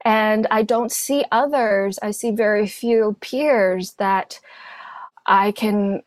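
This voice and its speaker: A woman from Hong Kong whose first language is Cantonese, a therapist guest telling her story in a thoughtful, measured way. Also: mid-range voice, no echo. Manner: thoughtful, measured, speaks in lists. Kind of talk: reflective personal storytelling.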